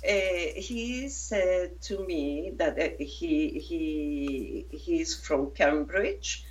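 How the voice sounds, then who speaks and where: A woman talks about her documentary in an interview. She speaks slowly and haltingly, with many fillers and restarts. Her fairly low voice sits a little back from the microphone. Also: darkish tone, distant mic. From Venezuela. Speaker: documentary filmmaker.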